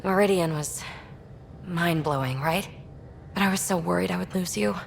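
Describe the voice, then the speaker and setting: An actress playing a breezy, affectionate young adventurer with informal delivery in a video game. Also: female voice, fairly low pitch, normal speed, vocal fry, a little hiss or hum. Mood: affectionate, excited.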